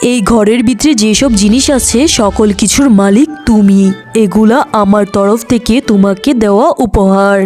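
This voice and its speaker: A woman voicing a kind, generous magical cow in a cartoon, with earnest, expressive delivery. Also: medium pitch, moderate pace, soft background music, natural voice. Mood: warm, grateful.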